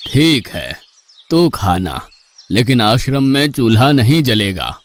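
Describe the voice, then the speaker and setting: A man voicing a patient but strict old ashram guru who admonishes his disciple in a slow, fatherly way, in a cartoon story. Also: medium pitch, sing-song, no room sound. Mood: admonishing. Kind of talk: Hindi cartoon story dubbing.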